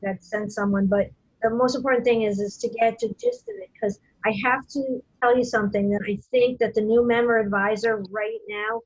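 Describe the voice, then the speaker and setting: A woman's voice: fairly high pitch, steady tone, brisk, breathy edge, dry recording. A woman hosting a casual video call meeting, rambling with many fillers and restarts. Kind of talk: casual meeting host talk. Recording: muffled video call audio.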